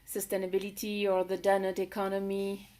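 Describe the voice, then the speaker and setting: A woman's voice: medium pitch, completely flat delivery, fairly quick, a little mellow. A woman interviewing a guest in a conversational style.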